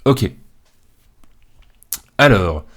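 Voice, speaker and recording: A man's voice: lowish voice, animated, extremely slow, fairly bright tone. A young man with a head cold giving casual, slangy tier list commentary in a hesitant, informal way. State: congested from a cold. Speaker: video creator.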